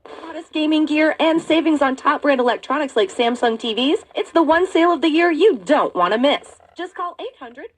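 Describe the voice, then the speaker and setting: A woman with a high voice delivers a promotional sales read. She sounds like the announcer of a radio commercial. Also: lively intonation, normal speed. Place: broadcast played through a portable radio.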